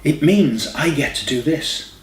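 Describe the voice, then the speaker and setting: A man's voice: fairly high pitch, expressive, unhurried, pitched above the performer's own voice. A man voicing a playful, teasing young girlfriend with mock exasperation in an audiobook. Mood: coy. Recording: echoey room, distant mic, faint hiss.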